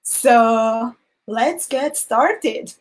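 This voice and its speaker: A woman's voice: on the high side, very slow, a little hiss or hum. A woman from Slovakia with a Hungarian accent, a native Hungarian speaker, hosting a friendly, chatty live beading tutorial and greeting viewers by name. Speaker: beading instructor.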